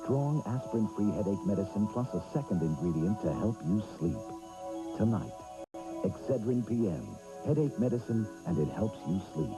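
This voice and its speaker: A man reading a medicine commercial voice-over in a steady, reassuring tone. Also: on the low side, moderate pace, music in the background. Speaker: commercial announcer. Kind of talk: scripted ad voice-over.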